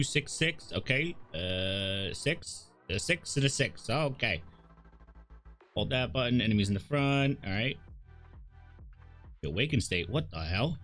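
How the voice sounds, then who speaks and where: Animated male streamer joking through a game. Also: medium pitch. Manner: playful, joking asides. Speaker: livestreamer. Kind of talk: casual game commentary and reading dialogue aloud.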